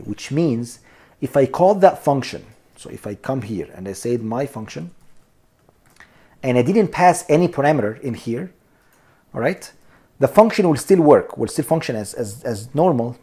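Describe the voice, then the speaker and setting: A man with a mellow, relaxed voice walks viewers through code in a screencast lesson. He talks them through each step, checking in with "okay?" and "here we go," and calls his audience "you guys.". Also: mid-range voice, slightly rough. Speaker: programming instructor. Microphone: voice-over on screen recording.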